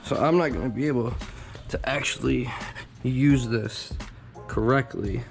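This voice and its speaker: Hoarse, just-woken-up man, rambling casually. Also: medium pitch, a little slow, faint music behind. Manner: relaxed, rambling, many fillers. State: hoarse and raspy from just waking up. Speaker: car vlogger. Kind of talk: casual how-to vlog. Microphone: handheld camera.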